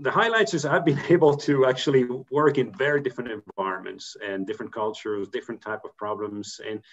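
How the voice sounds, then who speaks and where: A man in his fifties from Belgium, a corporate treasurer giving career advice on a video call, talking thoughtfully with many fillers and restarts. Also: fairly low pitch. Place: home room, indoors. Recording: muffled video call audio.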